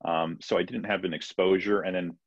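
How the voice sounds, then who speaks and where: Deep gravelly man, hesitant. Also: fairly even intonation, brisk, no room sound. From Peru, raised in Florida. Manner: hesitant, many fillers and restarts. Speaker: physician guest. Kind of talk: casual interview answers. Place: home room, video call. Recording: dull lo-fi video call audio.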